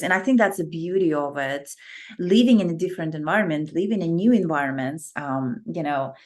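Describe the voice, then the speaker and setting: A woman hosting an interview podcast, affirming her guest often and repeating that she loves what she hears. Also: deep voice. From outside the US. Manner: warmly affirming, repeats "I love that". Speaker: podcast host.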